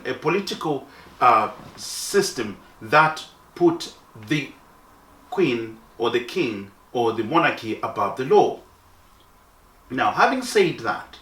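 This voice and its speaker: A man from Zambia speaking slowly and deliberately to his fellow countrymen, pausing often with fillers. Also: medium pitch, pushed and bright. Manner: slow and deliberate, with many pauses and fillers. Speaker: diaspora commentator. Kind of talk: spoken address to viewers. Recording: roomy, from a distance off the mic.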